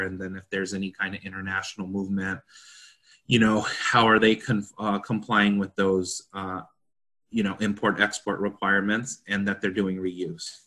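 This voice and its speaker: A man's voice: lowish voice, fairly bright tone. A man working as a consultant, explaining a technical standard informally and in plain terms in a discussion. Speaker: consultant panelist.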